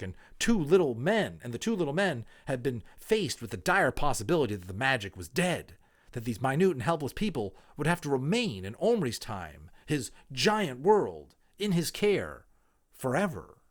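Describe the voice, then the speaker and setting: Animated male storyteller with sweeping pitch. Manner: theatrical, emphatic, with deliberate pauses and quieter explanatory asides. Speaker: amateur audiobook reader. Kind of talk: dramatic reading of a children's novel.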